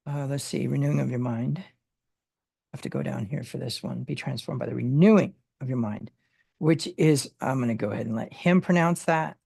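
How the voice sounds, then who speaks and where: A Bible study teacher who explains word meanings in a halting way, with many fillers and restarts. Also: medium pitch, vocal fry, mellow, breathy edge, no echo, studio quality.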